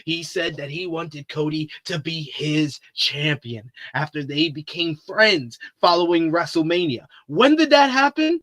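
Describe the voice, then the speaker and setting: A man hosting a wrestling preview talk show, commenting with animated, theatrical emphasis and drawn-out words. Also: on the high side.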